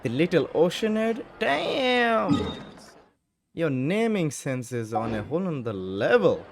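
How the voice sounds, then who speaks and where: A man's voice: on the high side, big pitch swings, takes it slowly, gravelly, background noise. A man giving casual, slangy gameplay commentary and reacting as he plays. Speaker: gaming streamer. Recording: game music behind. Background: game music.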